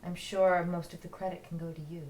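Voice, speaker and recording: A woman playing a polite, flattering android with a sinister streak, speaking deliberately at a script rehearsal. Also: fairly low pitch, natural voice. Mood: smooth, probing. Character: smooth, flattering, secretly sinister female android admirer. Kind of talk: table read of a fan film script. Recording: noisy room recording.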